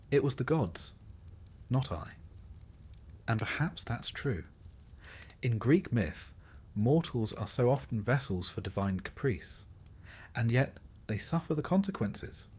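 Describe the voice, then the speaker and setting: A man reading a scripted sleep-story narration in measured, deliberate phrases, with dry, wry understatement. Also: lowish voice, a little mellow.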